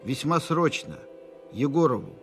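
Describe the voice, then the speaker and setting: An actor narrating urgent military dispatches in a radio play, formal, clipped and businesslike. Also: male voice, on the high side, animated, a little slow. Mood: urgent, official. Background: music.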